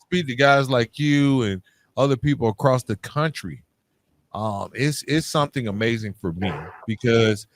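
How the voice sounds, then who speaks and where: A man's voice: medium pitch, normal speed. A man hosting a podcast in a casual, slangy and encouraging way, speaking conversationally to guest and listeners. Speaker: podcast host. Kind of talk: casual conversational interview and sign-off.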